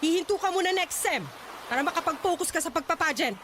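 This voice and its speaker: A woman's voice: very high voice, lively intonation, fast. A woman acting a pushy, pageant-obsessed mother with a Filipino accent, scolding and commanding in a radio drama. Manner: scolding, commanding, sharp-tongued. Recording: faint background noise.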